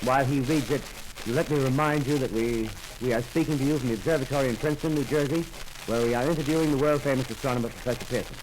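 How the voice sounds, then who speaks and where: Actor playing an earnest 1930s radio reporter. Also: male voice, mid-range voice, noisy background, close to an everyday voice. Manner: brisk, descriptive, polite. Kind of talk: 1930s radio drama acting.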